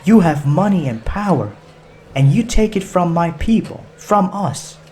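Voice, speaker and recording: A man voicing an angry, bitter twenty-year-old woman hijacker in an audiobook, speaking with slow, defiant emphasis. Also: high voice, lively intonation, a little mellow, a little hiss or hum, pitched above the performer's own voice. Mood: bitter, impassioned.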